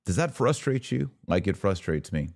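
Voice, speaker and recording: An American man hosting a political commentary podcast, speaking in a deliberate, emphatic monologue that leans on repeated words for stress. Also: low voice, very animated, creaky, darkish tone. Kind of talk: opinion monologue to camera.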